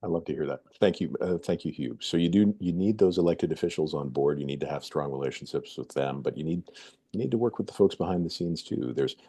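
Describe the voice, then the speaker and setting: Male moderator, deep dark voice, playful. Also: fast, slightly rough. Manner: playful, game-show style introductions. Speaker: webinar host. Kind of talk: moderating a webinar.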